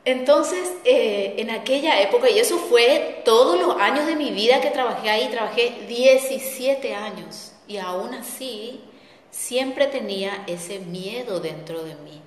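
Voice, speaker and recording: Middle-aged woman host, reflective storyteller, slightly high voice, echoey reverberant room. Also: animated, unhurried, a little hiss or hum. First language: Spanish. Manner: reflective, warm storytelling with repetitions. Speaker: host, spiritual coach. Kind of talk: personal testimony talk.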